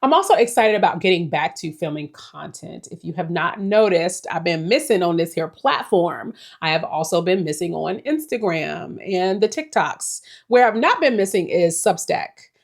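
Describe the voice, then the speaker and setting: A woman's voice: on the low side, animated, moderate pace, gravelly, few pauses. A woman in her forties giving a casual, rambling life update to her viewers, reflective and talking to them directly. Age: 40s. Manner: reflective, rambling, with small laughs. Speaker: vlogger.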